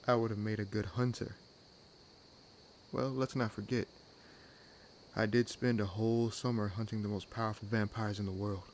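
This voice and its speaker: Over a soft bed of night-forest insects comes a low, gravelly male vampire acted by a man. He is wry and world-weary, needling his companion with sardonic teasing. The delivery is intimate and unhurried, in the manner of an audio roleplay. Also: fairly even intonation, a little hiss or hum. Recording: nature ambience laid under voice. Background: forest ambience with crickets and insects.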